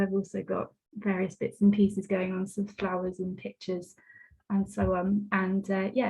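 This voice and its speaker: Flat-voiced woman hosting a call. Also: medium pitch, creaky. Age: 30s. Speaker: webinar host. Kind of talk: video call webinar. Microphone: video call. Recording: dull lo-fi call audio.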